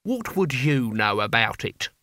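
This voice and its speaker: A man acts out a cantankerous old wizard from a fantasy storybook. He snaps out orders with sharp contempt, in a bright, forceful voice with very little room sound. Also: medium pitch, lively intonation, moderate pace, no echo, studio quality. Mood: sharp, threatening. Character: bad-tempered, bossy old male wizard.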